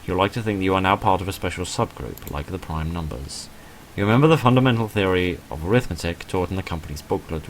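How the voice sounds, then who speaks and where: A man with a low voice talks through a video game as a casual let's-player. His delivery is measured and understated, with a mildly hedging way of putting things. There is faint noise behind the voice. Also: low-quality recording. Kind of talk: casual game playthrough commentary, reading text aloud and reviewing.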